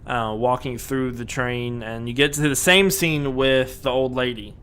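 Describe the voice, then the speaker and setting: A man's voice: medium pitch, steady tone, a little slow, a bit bright, clear, resonant tone. A man co-hosting a casual podcast, rambling with lots of fillers and restarts. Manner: rambling, many fillers and restarts, laughs often. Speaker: podcast co-host.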